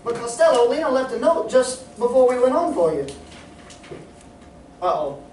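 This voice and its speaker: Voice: mid-range voice, big pitch swings, moderate pace, darkish tone, natural voice. A young performer as exasperated straight man, echoey hall. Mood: scolding. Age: young adult. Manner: scolding, prompting. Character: Bud Abbott, exasperated straight-man comedian. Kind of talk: vintage radio comedy routine on stage. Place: live stage in a community playhouse. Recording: echoey hall, distant mic, noise.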